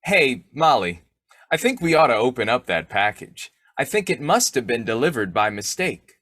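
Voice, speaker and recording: A man playing a blustering, excitable, foolish but affectionate husband in a folksy old-time radio comedy. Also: medium pitch, moderate pace. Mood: eager, bossy. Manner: folksy exclamations, swooping emphasis.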